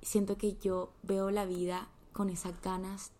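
A young woman, hosting a podcast in a heartfelt, motivational chat that often sinks to a soft whisper. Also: mid-range voice, level tone, measured pace. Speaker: podcast host.

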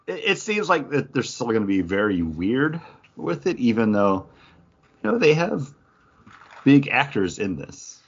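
A man with a husky voice co-hosting a podcast in loose, casual conversation. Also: mid-range voice, very animated, unhurried. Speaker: podcast co-host. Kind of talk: casual chat. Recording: dull lo-fi sound.

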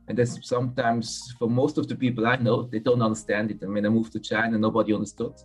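A man's voice: fairly low pitch, level tone, breathy edge, soft background music. A man from Switzerland with a Swiss accent, a podcast guest talking frankly and often restarting his sentences. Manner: frank, halting, restarts phrases mid-sentence. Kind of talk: casual interview conversation.

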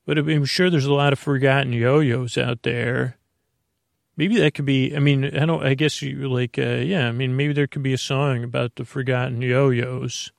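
A man's voice: medium pitch, fairly even intonation, moderate pace, dry recording, studio quality. A man hosting a sleep podcast, rambling in a hesitant, meandering way with lots of fillers and restarts. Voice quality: creaky. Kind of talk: rambling bedtime monologue.

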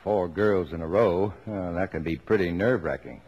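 An actor playing a middle-aged, dry and proud but fond father of a big family in measured, formal 1950s radio drama style. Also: low voice, muffled recording. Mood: fond, dryly proud. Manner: measured, formal, dryly understated.

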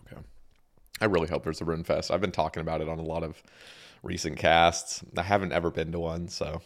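A man hosting a podcast in casual chat, his voice croaky from just waking up, talking in a loose, rambling way. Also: deep voice, brisk. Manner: relaxed, rambling with fillers and restarts. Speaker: podcast host.

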